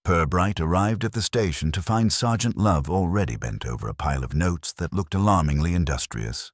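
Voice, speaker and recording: Extremely deep-voiced male story narrator. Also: animated, fairly quick, dry recording, studio quality. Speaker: audiobook narrator. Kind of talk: scripted mystery story narration.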